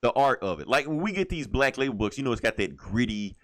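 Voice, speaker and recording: A man's voice: mid-range voice, very animated, fast talker, no echo. A man hosting a comic book podcast, chatting casually through a review in blunt, slangy, profane language.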